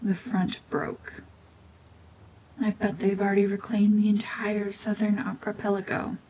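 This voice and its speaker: A woman voicing a cold, mean, world-weary cyborg witch and war veteran in a hushed, breathy, brooding, deliberate ASMR drama monologue. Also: mid-range voice, flat delivery, a little hiss or hum, natural voice, rough recording. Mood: bitter, brooding.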